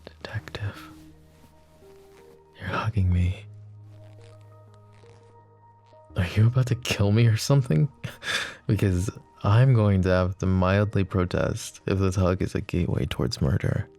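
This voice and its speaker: Casting: male actor as smitten mafia boss for romance ASMR; very low, creaky voice, flirty yet self-deprecating, hesitant murmur. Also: normal speed, warm tone, faint music behind. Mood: wary, wry. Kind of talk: intimate ASMR romance roleplay.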